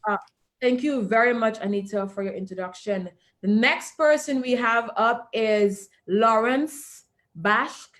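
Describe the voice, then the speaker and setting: A woman's voice: fairly high pitch, measured pace. A woman hosting an online video call, who talks with emphasis and often checks in with "all right?" and "okay?". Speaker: host.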